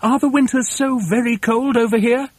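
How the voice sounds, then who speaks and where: A man's voice: very high-pitched, steady tone, normal speed, no room sound, voice pushed up. A man voicing an anxious, indignant father monkey in a storybook reading, exclaiming and questioning. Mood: curious.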